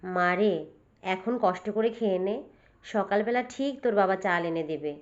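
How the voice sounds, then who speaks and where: A woman doing a brisk, nagging village mother. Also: mid-range voice, close to an everyday voice. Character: poor, scolding but loving village mother. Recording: dull, lo-fi recording.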